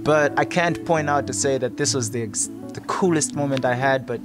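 A guitar track plays clearly behind a young man with a Malawian accent. He speaks at a middling pitch, as in a documentary interview outdoors. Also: moderate pace. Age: 20s. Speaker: youth programme participant and rap artist. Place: outdoors in a campus courtyard. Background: guitar music.

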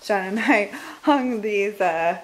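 A woman's voice: mid-range voice, lively intonation, slow pace, a little hiss or hum. Chatty Michigan woman giving a home tour. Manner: chatty, laughs often, trails off. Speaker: vlogger. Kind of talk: casual home tour vlog. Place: house, indoors, moving through rooms.

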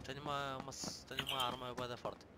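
A young man, chatting casually with friends while playing an online game, swinging between mumbled asides and loud outbursts. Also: medium pitch, little pitch movement, fast, very noisy. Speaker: gamer filming his squad. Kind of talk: casual chat while gaming.

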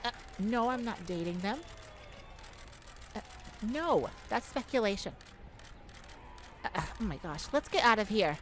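A woman acting an exhausted, anxious pop star confiding haltingly in a bodyguard lover, in an intimate ASMR roleplay. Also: medium pitch, animated, fairly bright tone, slight background noise. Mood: flustered. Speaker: audio roleplay performer.

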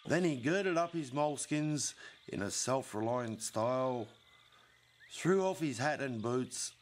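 A middle-aged man, reciting a comic bush ballad as an expressive storyteller. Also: medium pitch, a little slow, a little hiss or hum. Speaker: poetry reader.

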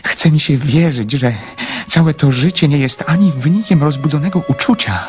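A man's voice: a little high, normal speed, faint music behind, lightly acted. A man acting in a radio drama as a lonely, shy, romantic dreamer, speaking hesitantly and poetically. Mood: rapt, dreamy.

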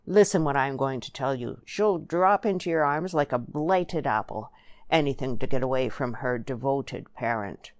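A woman's voice: rumbling low, moderate pace, no echo. A woman voicing a brash, scheming countryman in a storybook, blunt and emphatic, with a rural English dialect. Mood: brash, persuading.

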